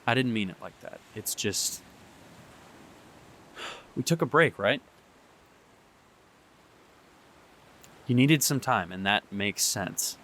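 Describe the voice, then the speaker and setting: A young man playing a heartbroken boyfriend in an audio drama monologue, speaking earnestly and haltingly. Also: lowish voice, animated, fairly bright tone, a little hiss or hum. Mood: pleading, regretful. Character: heartbroken young boyfriend pleading with his distant partner.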